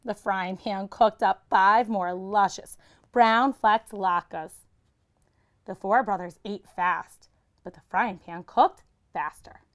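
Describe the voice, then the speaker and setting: A woman's voice: mid-range voice, slow. A woman reading a children's picture book aloud like a teacher, expressively and with dramatic emphasis.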